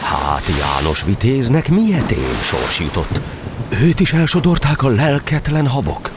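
A man's voice: mid-range voice, huge pitch swings. A man narrating a verse tale for an audio drama in a theatrical, declamatory storytelling style. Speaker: narrator and actor. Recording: faint noise, sound-effect ambience behind.

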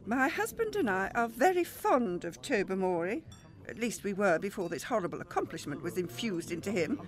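A woman's voice: medium pitch, sing-song, moderate pace, lightly acted. A woman playing a genteel, indignant English upper-class hostess in an audio play, mannered and emphatic. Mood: exasperated.

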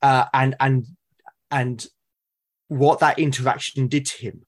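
A British man chatting as a podcast guest, thoughtful and halting as he builds his points. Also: mid-range voice, level tone. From Britain. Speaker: podcast guest, game master and podcast creator. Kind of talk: casual interview conversation.

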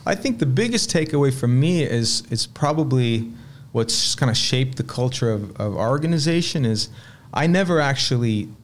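A man in his late thirties, originally from Sweden, telling his story as a restaurant owner in a relaxed, reflective way. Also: mid-range voice, moderate pace, slight background noise. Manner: relaxed, reflective storytelling with frequent "uh" and repeated words. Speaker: restaurant owner, podcast guest. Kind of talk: casual interview conversation.

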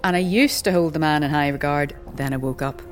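A woman's voice: low voice, brisk, lightly acted. A woman playing a sardonic older seer and teacher in a fantasy audio drama, dry, wry and gossipy. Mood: mocking, sardonic. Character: sardonic older female undead-philosophy tavern seer and teacher.

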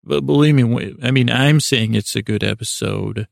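Man, thick vocal fry, dry close sound, podcast host, rambling monologue, hesitant, wandering, self-deprecating. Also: medium pitch, moderate pace, no echo. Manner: meandering, hesitant, with self-deprecating asides and acted-out imaginary dialogue.

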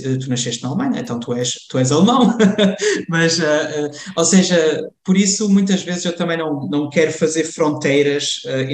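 Young man, guest chatting casually, halting and full of fillers, echoey room. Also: a little high, darkish tone. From Germany. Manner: hesitant, many fillers and restarts. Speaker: interview guest. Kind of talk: casual conversation. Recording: roomy echo.

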